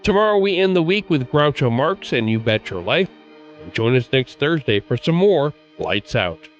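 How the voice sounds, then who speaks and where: A man's voice: medium pitch, very animated, normal speed, muffled recording, soft background music. A man hosting a podcast, reading a scripted radio-show style outro. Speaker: podcast host.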